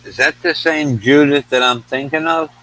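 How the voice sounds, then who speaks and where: A man's voice: on the high side, normal speed, bright, forceful tone, rough recording. A man chatting casually as a livestream co-host, informal and laid-back. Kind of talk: casual chat. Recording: faint background noise and hum.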